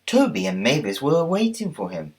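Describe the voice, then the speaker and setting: A man narrating a children's read-along story in a deliberate storytelling manner. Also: medium pitch, wildly swooping pitch.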